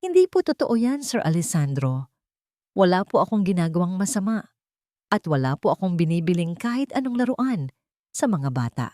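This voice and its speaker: A female narrator plays an earnest young nanny in a romance audiobook. Her mellow voice is respectful and pleading as she defends herself. The recording is very dry. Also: fairly low pitch, very animated, moderate pace, no room sound. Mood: defensive, pleading.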